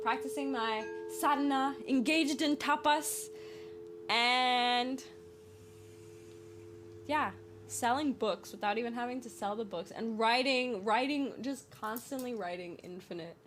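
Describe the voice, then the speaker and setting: A woman's voice: on the high side, a bit bright. Twenty-something woman chatting solo on her podcast, playful and rambly, laughs a lot, music going behind her. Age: 20s. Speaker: podcast host. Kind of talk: casual solo podcast monologue.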